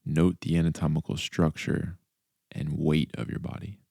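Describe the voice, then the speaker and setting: Very deep, creaky male meditation guide. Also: no room sound, clean studio sound. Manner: calm, deliberate, soft instructional delivery with pauses. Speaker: mindfulness coach.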